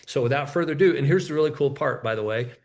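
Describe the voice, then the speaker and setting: A man hosts a webinar and introduces his guest from an event room. He talks at an ordinary pitch for a man, in a loose, hesitant way. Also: fast. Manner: hesitant, loose, with fillers and restarts. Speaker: webinar host. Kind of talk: casual introduction.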